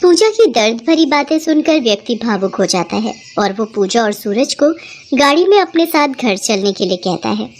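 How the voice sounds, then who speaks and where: A woman's voice: a little high, very animated, moderate pace, darkish tone, a little hiss or hum. A woman narrating a scripted children's moral story as the storyteller.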